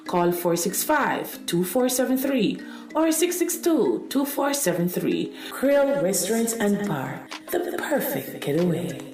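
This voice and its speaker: Echoey, animated woman reading an advert. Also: medium pitch, unhurried. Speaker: advert announcer. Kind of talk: promotional scripted advert read. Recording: very roomy, echoing sound. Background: faint music.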